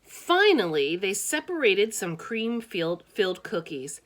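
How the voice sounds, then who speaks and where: A woman reading a children's storybook aloud with expressive, deliberate phrasing and casual asides. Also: mid-range voice.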